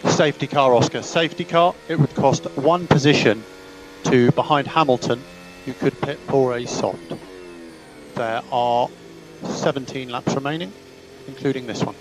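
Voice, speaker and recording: A man's voice: medium pitch, moderate pace, low-quality recording. A man working as a race engineer, giving clipped, procedural instructions over team radio and often repeating them. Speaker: Formula One race engineer. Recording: team radio with loud vehicle noise.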